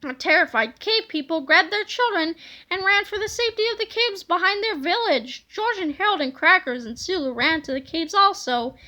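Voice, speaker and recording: Woman narrator, very high pitch, bright tone, expressive children's read-aloud. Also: normal speed.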